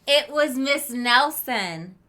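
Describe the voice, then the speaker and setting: A woman teacher reading a storybook aloud to children, expressive and emphatic, with questioning asides to her listeners. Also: sits high, takes it slowly.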